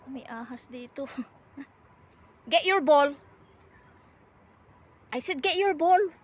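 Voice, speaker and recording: A woman's voice: sits high, expressive, slow pace. A woman with a Filipino accent chatting casually outdoors as she films a home video. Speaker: vlogger filming pets and wildlife at home. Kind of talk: casual chat to camera. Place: outdoors in a yard. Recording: clear background noise, outdoor ambience.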